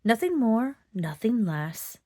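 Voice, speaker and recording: Woman as a slow, wise old butterfly. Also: on the high side, very animated, darkish tone, full character voice. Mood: reassuring. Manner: thoughtful, unhurried, instructive. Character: wise old male monarch butterfly, kindly and thoughtful. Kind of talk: children's storybook character voice.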